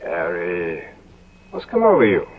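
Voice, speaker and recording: An actor playing a vain, callous young English gentleman in 1970s radio drama, with a disdainful, theatrical manner. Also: low-pitched, wildly swooping pitch, unhurried, breathy edge, exaggerated voice. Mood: worldly, coaxing. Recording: muffled, noisy old radio broadcast recording.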